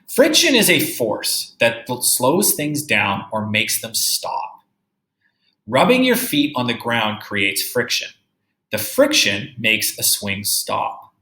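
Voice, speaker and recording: A man teaching young children through a read-aloud, reading deliberately and musing aloud with questions for his pupils. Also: medium pitch, huge pitch swings, pushed and bright. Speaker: third-grade teacher. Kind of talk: read-aloud lesson with think-aloud commentary. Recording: roomy, echoey indoor sound.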